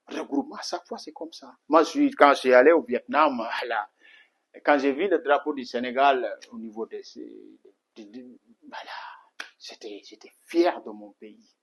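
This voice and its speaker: A middle-aged man from Senegal speaks earnestly at a moderate pitch, his sentences circling back through restarts and repeated fillers. He talks as a martial arts instructor giving an interview. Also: husky. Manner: earnest, repetitive, many restarts and "voilà" fillers. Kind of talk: spoken interview answer.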